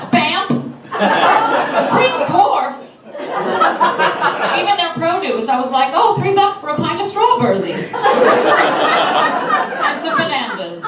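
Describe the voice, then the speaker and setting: A woman's voice: extremely high pitch, sing-song, slow pace, pauses often. A woman doing chatty observational stand-up to an audience. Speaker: stand-up comedian. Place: live comedy room with loud audience. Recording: distant, echoey, very noisy crowd room.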